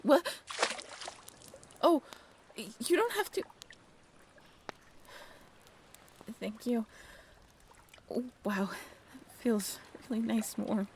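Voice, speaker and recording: Creaky-voiced young mermaid woman, played by an actress. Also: a little high, very animated, a little hiss or hum, exaggerated voice. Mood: shy, touched. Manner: casual, halting, softly self-deprecating. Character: friendly young half-mermaid woman, wry and bashful. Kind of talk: intimate audio roleplay, one-sided conversation.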